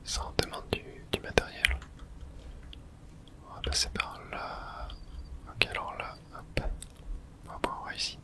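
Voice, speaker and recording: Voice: measured pace, long pauses. A whispering ASMR gamer of unclear sex. The delivery is unvoiced and close to the microphone, commenting on play step by step. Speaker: gaming ASMR streamer. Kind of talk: whispered ASMR gameplay commentary, reading game subtitles aloud.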